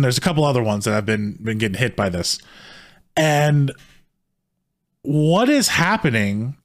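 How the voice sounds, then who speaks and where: A man co-hosting a casual podcast, talking in a heated, rambling way with many restarts, his voice slightly hoarse. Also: fairly high pitch, fairly bright tone. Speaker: podcast co-host.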